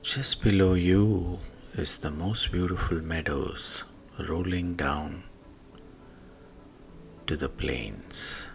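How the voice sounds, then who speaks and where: A man guiding a sleep meditation in a hushed manner, leaving long pauses and letting phrase endings fade into breath. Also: sits low, vocal fry. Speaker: meditation guide. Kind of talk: slow guided sleep meditation. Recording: soft music behind the voice. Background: soft music.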